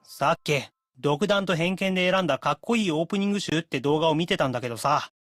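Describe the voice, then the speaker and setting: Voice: mid-range voice, very fast, a bit bright, no room sound, studio quality, lightly acted. A performer voicing a cocky, demanding young anime guy in Japanese style, haughty, teasing and a little sulky. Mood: smug, chatty.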